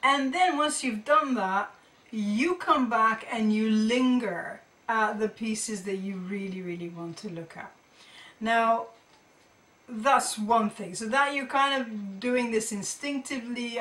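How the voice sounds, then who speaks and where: A middle-aged woman gives an informal, explanatory talk as a teacher. Her voice sits at a medium pitch, and she thinks aloud, rewording her points as she goes. The sound is roomy and echoey, picked up a little way from the microphone. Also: a bit bright. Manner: conversational, thinking aloud, often rephrasing. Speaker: art teacher presenting tips to students. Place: indoor room with carved wooden panelling. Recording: roomy echo, distant microphone.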